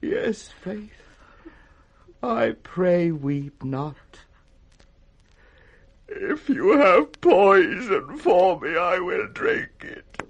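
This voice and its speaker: Frail confused old king, british, creaky voice that swoops up and down, radio shakespeare. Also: sits high, sing-song, dull audio, exaggerated voice. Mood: tender, confused. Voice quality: raspy, cracked. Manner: halting, wavering, theatrical.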